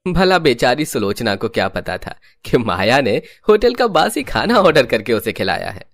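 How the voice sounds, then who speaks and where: A man's voice: medium pitch, big pitch swings, moderate pace. A man reading scripted storybook narration for a cartoon. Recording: music under the voice.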